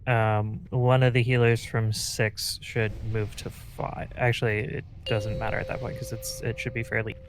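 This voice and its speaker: A man helping assign groups in casual gaming voice chat, halting as he works through logistics aloud. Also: medium pitch, flat delivery. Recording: faint noise on gaming voice chat.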